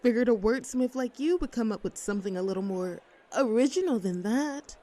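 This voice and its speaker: A woman's voice: on the high side, animated, a little fast, warm tone, lightly acted. A woman playing a young, teasing mean-girl student in a scripted audio roleplay, with a mocking, sarcastic, haughty manner. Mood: mocking, scornful.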